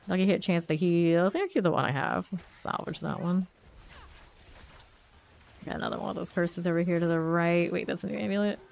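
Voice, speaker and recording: A congested woman with a lowish voice, chatting to herself as she streams a game. She thinks aloud in a loose, self-questioning way and keeps a sniffly edge from a cold throughout. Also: creaky. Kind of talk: casual gameplay commentary.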